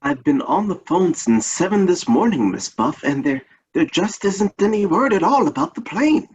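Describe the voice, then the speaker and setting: A man's voice: high-pitched, very animated, moderate pace, darkish tone, husky, full character voice, rough recording. A man playing a genial, folksy but anxious uncle in an old-time radio play. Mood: worried.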